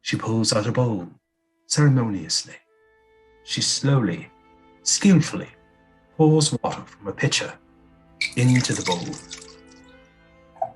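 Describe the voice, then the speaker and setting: A man's voice: mid-range voice, expressive, slow pace, long pauses, faint music behind. A man reading scripted stage directions as the narrator of an audio play.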